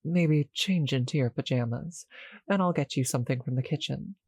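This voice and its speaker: A performer voicing a seductive, doting vampire with a possessive streak in an intimate ASMR roleplay, in a slow, breathy, purring manner. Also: medium pitch, darkish tone, no room sound. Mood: tender, doting.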